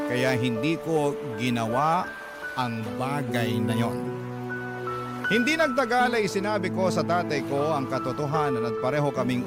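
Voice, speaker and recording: A man narrating a scripted first-person story as a radio storyteller reading a letter. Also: mid-range voice. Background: prominent music.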